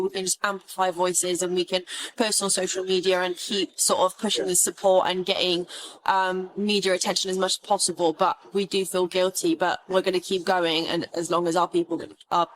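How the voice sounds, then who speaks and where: Young woman student interviewee, Iran-born, earnest, monotone delivery, slight background noise. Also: mid-range voice, low-quality recording. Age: young adult. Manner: earnest, many fillers and restarts. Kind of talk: casual interview answers. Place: outdoors at a protest.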